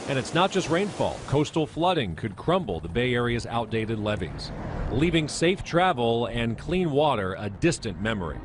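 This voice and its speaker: A man's voice: medium pitch, big pitch swings, moderate pace. A man presenting a scripted news explainer, recorded with outdoor noise. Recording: clear urban outdoor background noise.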